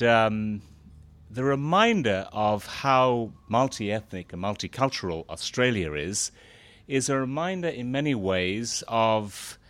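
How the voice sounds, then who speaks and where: Low-voiced man giving a halting speech. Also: no echo. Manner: halting, many fillers, grows more animated. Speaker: speaker at a gathering, former foreign correspondent. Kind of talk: speech to an audience.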